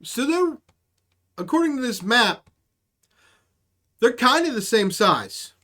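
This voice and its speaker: Comedy stream host, medium pitch, casual improvised storytelling, slangy, swearing, playing out quoted characters. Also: very animated, takes it slowly, a bit bright.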